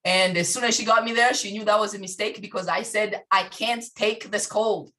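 A woman from Tanzania, a guest on a video call, telling her life story in an animated way and laughing often. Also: medium pitch, moderate pace. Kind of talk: casual conversation.

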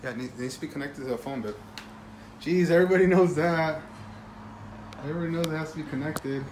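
A man's voice: a little high, fast talker, a little mellow, slight background noise, low-quality recording. A man hosting a casual podcast with his wife, joking and teasing with lots of fillers. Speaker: podcast host.

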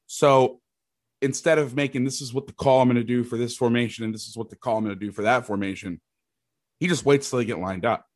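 A middle-aged man with a loud voice, a football coach explaining schemes in loose, rambling chat. His pitch sits at an ordinary middle for a man. The sound is close and dry, with no room around it. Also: quick pace, no echo. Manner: rambling, restarts sentences, occasional mild swearing. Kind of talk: casual podcast chat, explaining defensive schemes.

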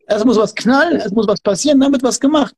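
Fired-up guy w a high husky voice, keeps repeating himself for emphasis. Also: fast. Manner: emphatic, repetitive, fervent. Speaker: martial arts instructor. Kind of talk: passionate podcast talk.

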